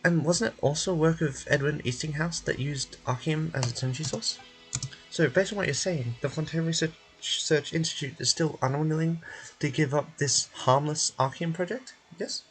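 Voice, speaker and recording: A man voicing a sharp, persistent female reporter from a video game, asking formal, pointed questions. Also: on the high side, moderate pace, faint music behind, natural voice, poor audio. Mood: skeptical.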